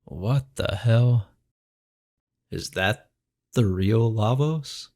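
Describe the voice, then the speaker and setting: A man's voice: on the low side, drawn-out words. A man with a stuffy head cold, hosting a let's play and chatting casually as he plays and narrates. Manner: relaxed, chatty, thinks aloud while playing. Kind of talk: game commentary and voiceover narration. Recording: faint game music behind.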